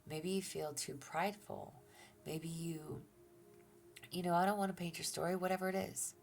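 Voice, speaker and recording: A woman giving a gentle tarot reading, soft-spoken and reassuring, who often drops to a near-whisper and talks through a stuffy nose. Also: on the low side, steady tone, moderate pace, creaky. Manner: gentle and reassuring, with fillers and restarts. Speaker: tarot reader. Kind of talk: relaxed tarot reading to viewers.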